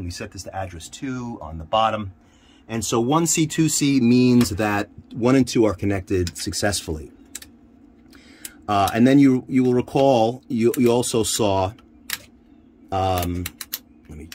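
A man giving an informal hands-on equipment demonstration, haltingly thinking aloud as he handles the gear. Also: lowish voice. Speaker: radio hobbyist demonstrating equipment. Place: inside a military-style off-road vehicle. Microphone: handheld camera, one hand free. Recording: noisy handheld camera audio.

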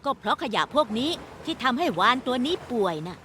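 A woman's voice: high voice, sing-song, a little fast, bright, forceful tone, slight background noise, exaggerated voice. A woman voicing a cheerful robot bus hero in a dubbed cartoon, using an upbeat delivery and a cute catchphrase. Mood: reassuring. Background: cartoon background music.

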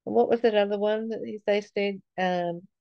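A woman's voice: medium pitch, steady tone, normal speed, gravelly, clear, resonant tone, no room sound, dull audio. A woman reading aloud from a spiritual book and adding informal comments, reverent and emphatic, lingering over phrases. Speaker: spiritual study reader.

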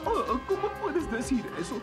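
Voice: sits high, big pitch swings, normal speed. A performer voicing a small, cheeky, indignant winged forest creature in a Latin American Spanish cartoon dub. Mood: worried. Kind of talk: Spanish-dubbed cartoon voice acting. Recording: loud music behind the voice.

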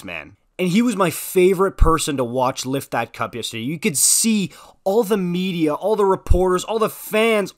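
A man with a slightly high voice narrates a sports opinion video. He talks conversationally and leans on his words for emphasis, with casual slang throughout. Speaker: sports commentary video host. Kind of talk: informal opinionated sports commentary, voice-over.

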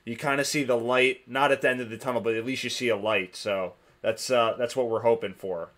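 A man from New Jersey hosting a casual interview podcast, relaxed and full of fillers, talking over a remote call. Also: mid-range voice, fairly quick. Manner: relaxed, filler-heavy talk. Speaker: podcast host.

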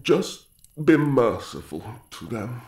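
A man performs a calm elf king and devoted husband for a fantasy roleplay. His pitch is average and his delivery is slow and stately, tender in manner. The recording has a roomy echo. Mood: tender concern. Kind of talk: fantasy audio roleplay, ASMR.

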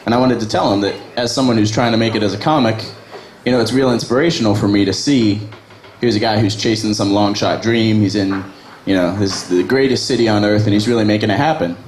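Self-mocking young comic in echoey bar. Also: male voice, fairly low pitch, quick pace. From Virginia, USA. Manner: conversational, self-deprecating, crude. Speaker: stand-up comic. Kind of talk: open mic stand-up set. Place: small bar open mic stage. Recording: echoey bar with background noise.

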